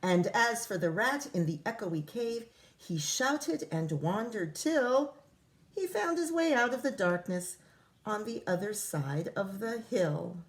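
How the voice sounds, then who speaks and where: A woman reading a rhyming picture book to children as their teacher, expressive, with casual explanatory asides. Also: medium pitch, slow.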